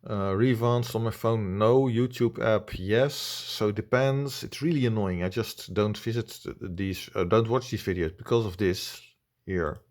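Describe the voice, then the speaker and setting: Man, Dutch accent, low pitch, unscripted commentary, halting, blunt, complaining. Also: a little mellow. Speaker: video reviewer.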